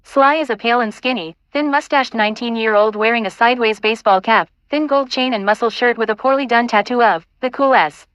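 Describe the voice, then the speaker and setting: A woman's voice: a little high, a little fast, hardly any pauses, a bit bright, no room sound, rough recording. A woman reading scripted narration and stage directions for an audio play.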